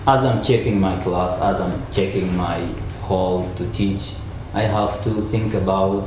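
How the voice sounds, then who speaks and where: A man's voice: deep voice, steady tone, unhurried. Teacher, man, earnest, repeats himself; distant and echoey. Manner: earnest, repeats his points. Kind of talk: panel discussion answer. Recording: echoey room, mic far off, noisy.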